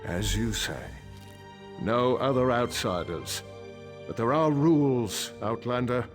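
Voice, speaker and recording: A man's voice: medium pitch, takes it slowly, soft background music, close to an everyday voice. An actor voicing a formal, dignified councilor and worried father in a fantasy video game. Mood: formal, resolute. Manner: formal, grave, stiff. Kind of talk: video game character dialogue.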